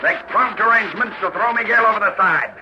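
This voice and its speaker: An actor playing an obsessed, half-mad ship's captain in a 1940s radio drama, barking orders in an imperious, ranting way. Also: male voice, high-pitched, brisk, muffled recording, noisy background, close to an everyday voice. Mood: stern, commanding. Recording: old radio drama with loud organ music.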